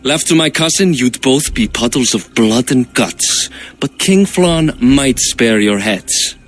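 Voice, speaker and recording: A man's voice: medium pitch, expressive, slow pace, faint music behind. Bold, sardonic Norse warrior king. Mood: wry command. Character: bold Norse king in Ireland, gruff, sardonic, battle-worn. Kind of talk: cinematic video game acting.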